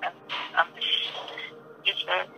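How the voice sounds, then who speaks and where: A woman singer with a husky voice, answering interview questions thoughtfully, with many hesitations. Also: measured pace, sharp tone.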